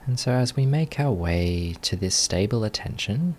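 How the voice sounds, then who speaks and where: A man leading a guided meditation as a teacher, giving gentle, unhurried instructions with long pauses between phrases. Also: lowish voice, lively intonation, a little hiss or hum.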